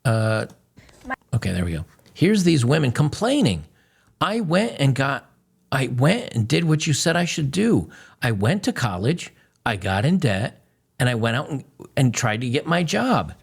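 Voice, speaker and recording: A man's voice: medium pitch, big pitch swings, measured pace, creaky, breathy edge. A man hosting, giving blunt, mocking commentary on video clips. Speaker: host.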